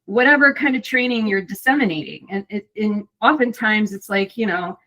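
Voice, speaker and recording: A woman's voice: medium pitch, moderate pace, bright, forceful tone, low-quality recording. A middle-aged woman, an audiobook narrator and voice actor, chatting casually in an interview, talkative with many fillers and restarts.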